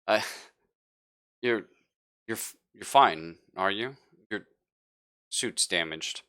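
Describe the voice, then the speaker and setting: A man's voice: lowish voice, expressive, sharp tone, smooth, dry recording, studio quality, heavily acted. A man playing a nervous, flustered spaceship crewman who stammers and corrects himself, in a tabletop game. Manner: stammering, halting, self-correcting.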